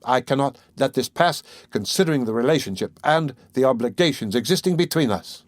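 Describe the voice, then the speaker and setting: A man's voice: medium pitch, lively intonation, fairly quick, no room sound, studio quality, pitched above the performer's own voice, lightly acted. A man doing a pompous touchy suitor. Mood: pompous huffy. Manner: huffy, sententious, stiffly formal. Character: pompous, touchy middle-aged male suitor.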